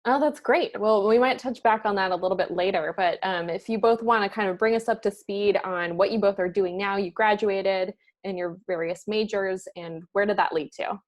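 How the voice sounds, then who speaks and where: A woman's voice: mid-range voice, moderate pace, hardly any pauses. An upbeat woman hosts a podcast interview. She is friendly and laughs easily as she guides the conversation. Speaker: podcast host, career services assistant director.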